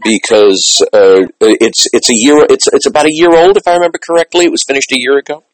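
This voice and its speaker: A man interviewing someone conversationally, wordy and halting, often repeating words as he works toward his point. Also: medium pitch, low-quality recording. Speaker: interviewer, host.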